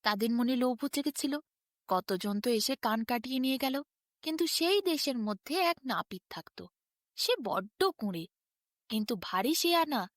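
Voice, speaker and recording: A woman narrating a bedtime folk tale as an expressive storyteller. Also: a little high, normal speed, no room sound, studio quality.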